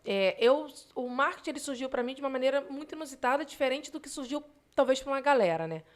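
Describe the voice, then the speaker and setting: A woman's voice: high-pitched, lively intonation, fast talker. A woman with a Rio de Janeiro accent, chatting casually and explaining things as a podcast guest. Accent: Rio de Janeiro Brazilian Portuguese. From Brazil, grew up in Rio de Janeiro. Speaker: podcast guest, digital marketer. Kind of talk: casual interview chat.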